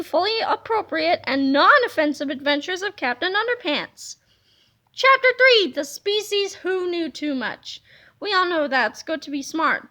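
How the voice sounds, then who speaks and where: A woman reading a children's comic book aloud in a playful, animated way, slipping into silly voices. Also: high-pitched. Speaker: reader.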